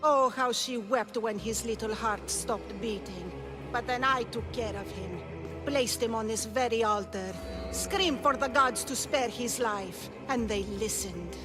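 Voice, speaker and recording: A woman's voice: on the high side, a little slow, over music, lightly acted, low-quality recording. A woman playing a cruel, gloating cult priestess in a video game, with a menacing, theatrical delivery. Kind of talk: dramatic video game voice acting.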